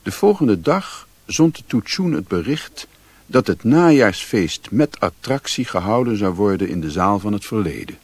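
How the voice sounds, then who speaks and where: An actor playing a reflective adventurer who narrates his own story in a radio drama, with a husky voice. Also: fairly low pitch, sing-song, moderate pace, darkish tone, dry recording. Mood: reflective, narrating.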